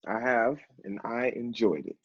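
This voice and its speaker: A man playing a polite, dry-witted literary assistant in a stage play, measured, articulate and deadpan. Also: mid-range voice, no room sound, dull audio, close to an everyday voice. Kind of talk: one-act stage play dialogue.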